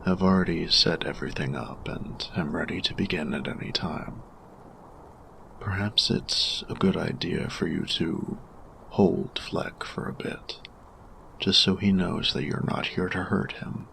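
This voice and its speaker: A man playing a grumpy, reserved steampunk artificer in an audio roleplay, speaking formally and deliberately in a gravelly, breathy murmur. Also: low-pitched, bright, forceful tone, a little hiss or hum, full character voice. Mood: grateful, careful. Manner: formal, measured, deliberate phrasing. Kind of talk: scripted audio roleplay addressed to the listener.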